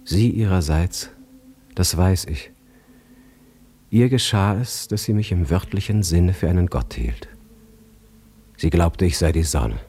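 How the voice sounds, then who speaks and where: An actor playing a grave, enraptured poet who narrates a radio drama in a measured, literary, reflective manner. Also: male voice, low-pitched, animated, dark tone, breathy edge, full character voice. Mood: solemn, reverent. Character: grave, enraptured male poet recalling his muse.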